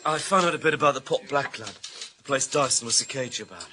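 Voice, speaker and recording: An actor playing a cynical, grumbling junior British police detective in a radio thriller, talking bluntly and colloquially. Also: male voice, medium pitch, fast talker, background noise, lightly acted. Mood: matter-of-fact, wry. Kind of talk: naturalistic radio thriller acting.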